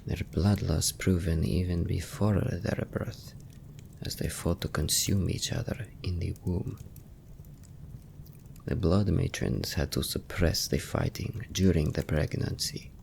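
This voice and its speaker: A man playing a brooding young vampire noble in an ASMR fantasy roleplay, hushed and gravelly, with measured, formal phrasing. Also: low-pitched, steady tone, slight background noise, full character voice. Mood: solemn, wistful. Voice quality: gravelly, breathy at times. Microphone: close microphone.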